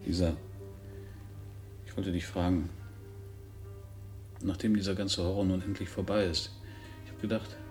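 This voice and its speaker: An actor in a German radio drama playing an earnest, tentative suitor, soft-spoken and halting. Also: male voice, sits low, fairly even intonation. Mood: tender, hesitant. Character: tentative, earnest man proposing marriage to a woman. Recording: plucked guitar music behind the voice.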